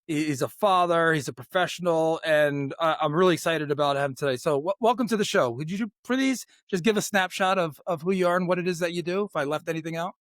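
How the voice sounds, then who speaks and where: A man's voice: fairly high pitch, fast, few pauses, fairly bright tone, no room sound, studio quality. A man hosting a podcast, chatting casually and informally in an upbeat way as he interviews a guest. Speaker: podcast host.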